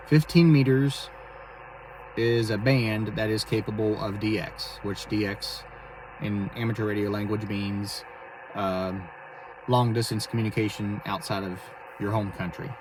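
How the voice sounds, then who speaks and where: A man talking casually and haltingly as he explains his amateur radio hobby in a vlog from inside a vehicle. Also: fairly low pitch, fairly even intonation. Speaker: amateur radio operator and video host. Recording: distant mic, clear vehicle and radio noise.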